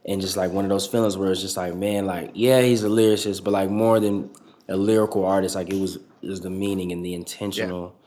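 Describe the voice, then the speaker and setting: A man's voice: low voice, level tone, brisk, darkish tone. A young man in his twenties, a rapper guest talking casually and profanely in an interview, rambling with lots of fillers.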